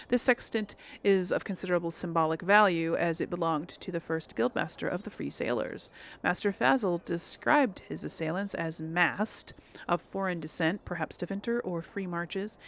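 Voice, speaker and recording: A woman doing casual, chatty let's play commentary, thinking aloud and reading in-game text. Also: medium pitch. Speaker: host of a role-play game series.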